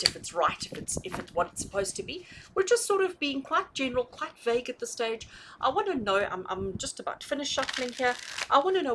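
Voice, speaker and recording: Woman tarot host, animated and fairly high, emphatic and conversational, slight background noise. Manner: emphatic, repeats and rephrases points, often checks in with rhetorical questions. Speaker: tarot reader hosting a reading. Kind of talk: conversational, intuitive tarot reading addressed to viewers.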